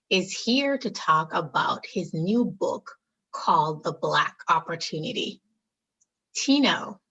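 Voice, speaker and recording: A woman's voice: on the low side, gravelly, fairly bright tone, husky, lo-fi sound. A woman hosting a podcast, reading a sponsor message and asking her guest questions. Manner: reads sponsor copy, then asks questions. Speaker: podcast host.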